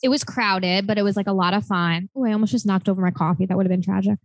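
A woman in her late twenties co-hosting a podcast, telling stories in a chatty, animated way full of "like" fillers. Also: medium pitch, fast talker, no echo. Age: 20s. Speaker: podcast co-host. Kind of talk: casual chat.

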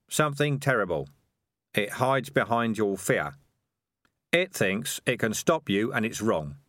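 Scarred rat war leader, voiced by a man, loud, rousing, emphatic, raised pitch, dry sound. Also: no echo, close to an everyday voice. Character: fierce scarred male rat clan leader.

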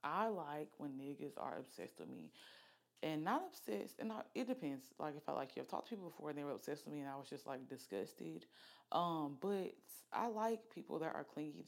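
A woman's voice: low voice, gravelly, darkish tone. A woman, hosting a podcast in a candid, chatty way with lots of fillers. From Alabama, US. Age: late 20s. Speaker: podcast host. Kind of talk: casual solo podcast chat.

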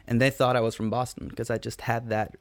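A Norwegian man, first language Norwegian, telling a relaxed anecdote in English with a Norwegian accent. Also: mid-range voice, a little fast, dry recording. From Norway. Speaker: guest. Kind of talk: casual storytelling.

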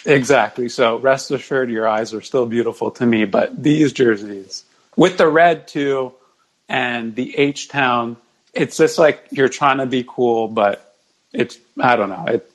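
A man's voice: mid-range voice, a little slow, poor audio. A man as a podcast guest, mildly explaining his opinions in casual sports discussion.

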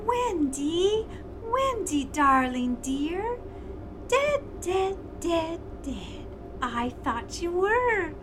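Very high, slow, addled captive. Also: female voice, big pitch swings, noisy background, heavily acted. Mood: dazed, eerie. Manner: singsong repetition of words. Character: deranged, childlike, fluttery captive woman. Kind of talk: 1930s crime thriller audio drama.

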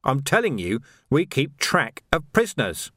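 A man's voice: mid-range voice, huge pitch swings, a bit bright, dry recording, studio quality, voice pushed up, exaggerated voice. A man voicing a stern, commanding watch commander. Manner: brisk, commanding, lecturing. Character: stern middle-aged male watch commander. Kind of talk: audiobook character voice.

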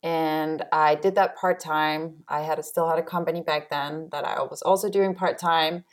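A young woman from Denmark with a light Danish accent, a helicopter pilot chatting as a podcast guest, a little tired and quick to laugh. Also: low voice. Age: young adult. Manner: relaxed, laughs easily, trails off with "I don't know". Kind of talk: casual podcast chat.

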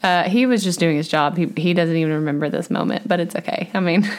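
A woman talks her way through a casual podcast with her husband. Her voice sits a bit low and is slightly scratchy, and she tells stories in a lively, animated way, laughing often. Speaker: podcast co-host. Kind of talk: casual chat.

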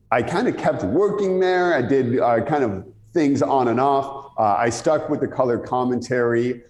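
A Canadian man speaks in an echoey, reverberant space. His radio-style voice moves in lively swings of pitch as he tells anecdotes in a conversational interview manner. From Ontario, Canada. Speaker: interview guest. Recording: echoey room with long reverb.

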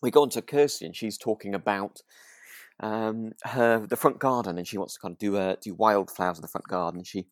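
A British man co-hosting a relaxed, casual chat, speaking hesitantly and in a gently encouraging way. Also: fairly low pitch, brisk, no room sound, studio quality. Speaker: podcast co-host.

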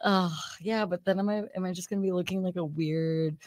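A woman in her thirties with a lowish voice, hosting a loose, chatty podcast conversation. She is self-deprecating and swears freely, and her voice sounds close and dry with no room around it. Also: steady tone, fairly quick, a little mellow, no room sound. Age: 30s. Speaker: podcast host. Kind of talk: casual chat.

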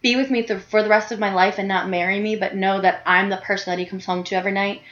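A woman's voice: mid-range voice, fairly even intonation, fast talker, a bit bright. A woman in her early thirties, co-hosting a podcast, chatting candidly from a couch at home with lots of "like" and "you know". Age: 30s. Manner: candid, chatty, many likes and you knows. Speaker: podcast co-host. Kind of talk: casual chat. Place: home living room on a couch. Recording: roomy, dull home recording.

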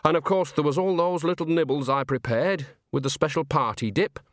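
A man's voice: a little high, brisk, dry recording, clean studio sound, pitched above the performer's own voice, close to an everyday voice. A man voicing a chatty, homely, cheeky old woman witch in an audiobook, folksy and rambling. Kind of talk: audiobook character acting.

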